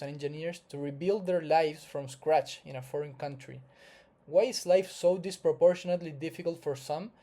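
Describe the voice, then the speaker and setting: Male consultant-presenter, Chilean Spanish accent, slightly high yet very mellow voice, earnest and formal explainer read. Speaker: investment migration consultant presenting. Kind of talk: scripted explainer narration to viewers.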